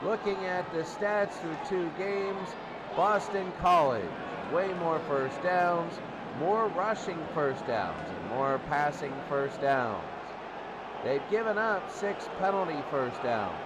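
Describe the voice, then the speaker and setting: A man giving football pregame commentary, reading out team statistics in a halting, phrase-by-phrase delivery. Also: on the high side, animated. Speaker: sports commentator. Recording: very noisy amateur recording. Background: loud background noise.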